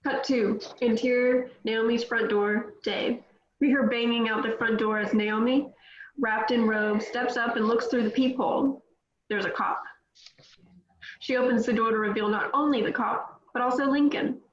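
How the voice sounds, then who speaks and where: A woman's voice: a little high, steady tone, moderate pace. A woman reading scripted stage directions as narrator at a table read, in roomy, slightly dull audio.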